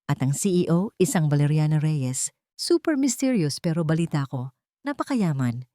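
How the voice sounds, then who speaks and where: A woman's voice: deep voice, sing-song, moderate pace, dark tone, dry recording, clean studio sound. A woman voicing a man's well-informed friend in a story, confiding and urging.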